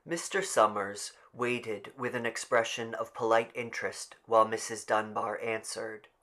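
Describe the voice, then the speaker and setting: A man's voice: on the low side, steady tone, a little slow, pushed and bright. A man narrating a scripted story as an audiobook reader.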